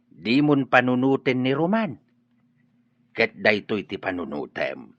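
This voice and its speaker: A man playing a caring middle-aged father in a radio drama, speaking gently. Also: mid-range voice, no room sound, exaggerated voice. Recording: dry muffled studio audio.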